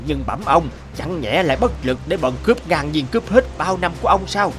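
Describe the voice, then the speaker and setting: A man acting male characters in an animated Vietnamese folk tale. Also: a little high, fairly quick, lightly acted. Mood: agitated. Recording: loud background music.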